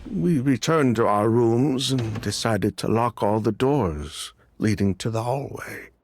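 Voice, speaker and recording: A man's voice: medium pitch, unhurried, a little mellow, slight background noise, lightly acted. An actor playing a frightened young nobleman who talks in nervous, stammering bursts. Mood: shaken. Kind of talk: game voice acting.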